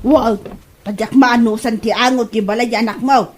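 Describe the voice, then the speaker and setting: A high-pitched, fussy mother-in-law acted by a woman in an Ilocano comedy drama. She scolds and complains bluntly in an Ilocano accent, sounding thoroughly fed up. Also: steady tone, moderate pace, slight background noise, close to an everyday voice. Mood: disgusted, complaining.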